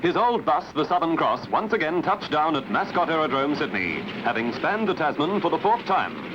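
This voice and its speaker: A man's voice: a little high, very animated, normal speed, dull audio, background noise. A man reading scripted documentary narration as a newsreel narrator. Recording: band-limited archive sound over music. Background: music.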